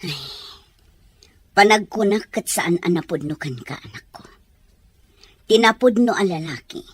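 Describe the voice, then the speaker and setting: A woman playing an inquisitive, advising mother with a husky voice and a Filipino accent in an audio drama. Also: mid-range voice, expressive, a little slow, dry recording. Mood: probing. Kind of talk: Ilocano audio drama acting.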